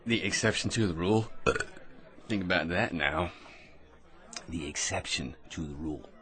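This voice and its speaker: An actor playing a brash, eager, self-deluded schemer in a comic radio drama, full of cocky tag questions and nervous chuckles. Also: male voice, deep voice, moderate pace, bright, forceful tone, a faint crowd. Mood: know-it-all.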